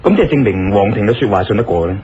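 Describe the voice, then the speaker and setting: A man's voice: fairly low pitch, expressive, racing, mellow, highs cut off, a little hiss or hum, lightly acted. A man acting an assured, reassuring investigator in a Cantonese radio drama. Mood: calm.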